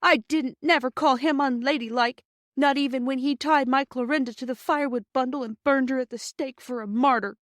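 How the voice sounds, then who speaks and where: A woman's voice: high voice, fairly even intonation, brisk, no echo, clean studio sound, pitched above the performer's own voice, natural voice. A woman voicing a young schoolgirl in a storybook, a tearful and indignant little sister with a childish, petulant manner. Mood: tearful, aggrieved. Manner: childish, sobbing and sniffling, petulant.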